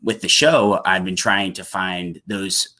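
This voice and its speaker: A man's voice: low-pitched, fairly even intonation, takes it slowly, pushed and bright. A young man talking casually on a podcast, sharing his thoughts in a conversational way. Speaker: podcast host and guest.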